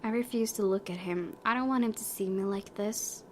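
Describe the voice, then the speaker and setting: Expressive young woman, slightly high voice. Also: brisk, a little hiss or hum. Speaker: let's-play host. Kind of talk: reading visual novel narration with casual reactions. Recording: voice over prominent game music.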